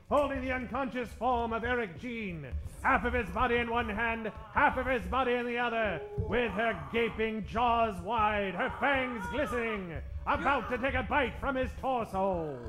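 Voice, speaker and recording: A man's voice: very high voice, measured pace, roomy sound, background noise. A man narrating an old-time radio adventure in a theatrical, mock-dramatic manner.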